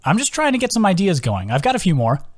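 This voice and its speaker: A man's voice: sits high, huge pitch swings, fast, dry recording, voice pushed up, full character voice. A man voicing an earnest, playful young male adventurer with a casual, bantering manner in an audiobook.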